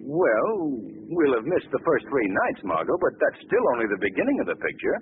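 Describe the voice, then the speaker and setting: Suave, animated gentleman sleuth. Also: mid-range voice, a little fast, slightly rough, full character voice. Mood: assured, wry. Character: urbane, assured gentleman sleuth. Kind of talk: 1940s radio acting. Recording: noisy, tinny old radio broadcast recording.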